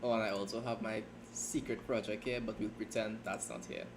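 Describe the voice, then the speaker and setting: A man chatting casually on a writing livestream, rambling and self-deprecating, talking in halting starts. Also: fairly low pitch, level tone, noisy background. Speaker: streamer and fan fiction writer. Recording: keyboard typing audible behind voice.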